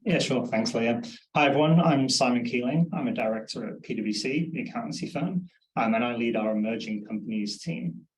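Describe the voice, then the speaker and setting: A man's voice: mid-range voice, fairly quick, echoey room, rough recording. A man introducing his advisory work on a panel, organized and businesslike. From Northwest England. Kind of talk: online panel discussion.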